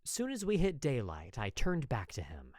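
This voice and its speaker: A man's voice: mid-range voice, moderate pace, no echo, studio quality. A man giving a theatrical, dramatized first-person narration of a story, written like a journal. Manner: expressive, theatrical. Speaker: voice actor narrating fan fiction.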